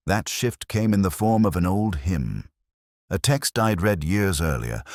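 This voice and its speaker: A man narrating a scripted mystery as a brooding police detective, in a measured, literary and contemplative manner. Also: very deep voice, dry recording, studio quality, heavily acted. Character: brooding male police detective recounting a murder investigation.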